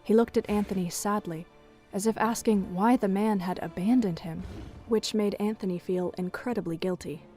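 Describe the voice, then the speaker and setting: A woman's voice: mid-range voice, moderate pace, mellow, faint music behind. A woman narrating a scripted adventure story for a fan audio drama.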